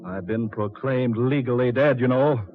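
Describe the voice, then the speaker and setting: An actor in a 1940s radio drama playing a vengeful man back from the dead, deliberate and ominous. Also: medium pitch, faint music behind, lightly acted. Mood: coldly menacing. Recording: dull 1940s radio recording.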